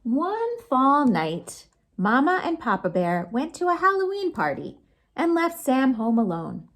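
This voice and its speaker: A woman storytime host reading picture books aloud to children, expressive and sing-song, with chatty asides to her young listeners. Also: high voice, a little slow. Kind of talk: animated picture-book read-aloud for children.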